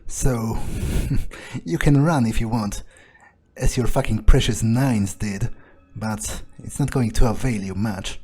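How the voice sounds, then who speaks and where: Dude roleplaying his vampire guy, slow n menacing, kinda dark mellow voice. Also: on the low side, husky. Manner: menacing, gloating, slow and deliberate. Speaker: roleplaying let's play host. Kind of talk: in-character roleplay commentary.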